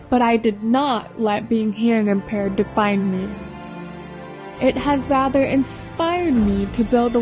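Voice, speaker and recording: A young woman from the Philippines, a pageant contestant, giving an earnest speech and a measured scripted voice-over. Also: on the high side.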